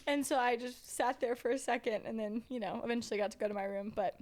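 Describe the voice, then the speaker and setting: Animated young woman telling a story. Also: fairly high pitch, quick pace. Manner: animated storyteller, acts out quotes. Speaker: dancer. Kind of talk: casual chat.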